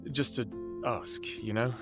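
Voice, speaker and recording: A man performs an earnest, lovestruck human in an audio drama. He speaks very slowly and haltingly, with a tender sincerity. Music plays clearly behind his voice. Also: mid-range voice, fairly even intonation, lightly acted. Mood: earnest, hesitant. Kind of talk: first-person audio drama acting and narration.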